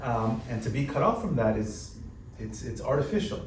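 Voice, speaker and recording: A man's voice: mid-range voice, normal speed. Easygoing man on a roomy panel stage. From United States. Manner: relaxed, conversational, frequent "you know" fillers. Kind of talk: panel discussion. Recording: roomy hall, distant mic, background noise.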